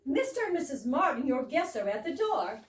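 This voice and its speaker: A woman's voice: sits high, big pitch swings, a little fast. A woman playing a perky household maid who announces herself in a staged reading of an absurdist comedy. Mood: perky, declaring. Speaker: amateur actress in a readers theater. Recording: roomy, distant, noisy live stage recording.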